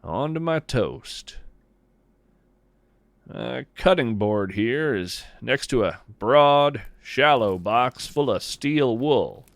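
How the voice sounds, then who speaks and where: Male podcast narrator, folksy and poetic, unhurried delivery, very close dry sound. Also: mid-range voice, expressive, vocal fry, dry recording. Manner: folksy, musing, poetic, deliberate phrasing. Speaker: podcast host. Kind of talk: scripted first-person narration in a fiction nature podcast.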